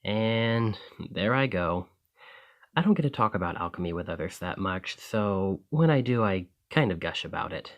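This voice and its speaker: Man acting as a friendly male alchemist, lowish voice, earnest, bashful, gushing, conversational ASMR roleplay. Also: animated, moderate pace, highs cut off, lightly acted. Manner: conversational, enthusiastic, hesitant when embarrassed.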